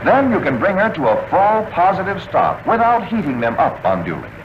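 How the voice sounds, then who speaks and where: A man reading scripted instructional narration for a vintage military training film, in a measured, instructive manner. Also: high-pitched, wildly swooping pitch. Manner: measured, instructional delivery with clipped checklist phrasing. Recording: dull, noisy old film soundtrack, aircraft drone. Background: aircraft engine noise.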